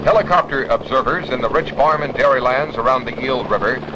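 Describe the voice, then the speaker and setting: A man's voice: medium pitch, fairly quick. An American man reading scripted 1960s newsreel narration in a formal, dramatic announcer style. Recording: noisy old newsreel soundtrack.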